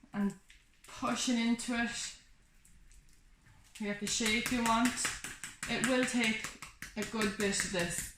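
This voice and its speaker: Echoey woman teaching a craft. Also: mid-range voice. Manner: informal, reassuring step-by-step explaining. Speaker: craft workshop instructor. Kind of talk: casual hands-on craft tutorial. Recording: echoey room with background noise, clicks.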